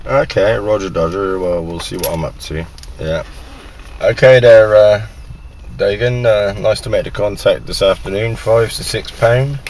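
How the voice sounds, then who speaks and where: A man's voice: fairly low pitch, unhurried, darkish tone, slight background noise, rough recording. A man making casual amateur radio contacts, working down a list in radio jargon. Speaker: radio operator, video maker.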